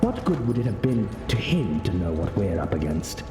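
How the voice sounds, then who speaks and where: Wise cryptic game mentor, low slow formal voice, lots of echo. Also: male voice, big pitch swings. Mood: solemn, instructive. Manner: measured, formal, instructive. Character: wise male mentor, calm, cryptic and wry. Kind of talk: video game cutscene acting. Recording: echoey hall reverb, music behind.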